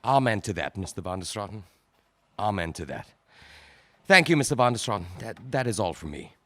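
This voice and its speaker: A man playing a prosecution counsel in a courtroom radio drama, questioning in a formal, measured way. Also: lowish voice, sing-song, fairly bright tone. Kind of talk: audio drama acting.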